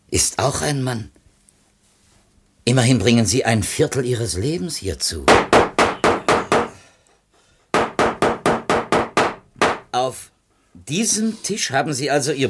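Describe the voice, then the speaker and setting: A man's voice: mid-range voice, big pitch swings, unhurried, gravelly, pauses often, heavy background noise. An actor playing a reflective, educated publishing editor in a 1970s East German radio play, searching for words as he talks. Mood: appalled, concerned. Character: thoughtful, educated male publishing editor, curious and uneasy.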